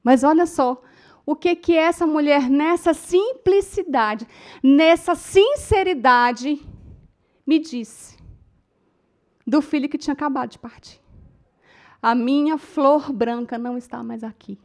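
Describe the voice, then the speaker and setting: A Brazilian woman in middle age leads a class on speaking in public. Her voice sits high, and she tells stories with strong feeling, turning often to her listeners. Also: slow pace, long pauses, darkish tone. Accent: Brazilian Portuguese. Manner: emotive storytelling, addresses the audience as "gente". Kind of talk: lecture to a class.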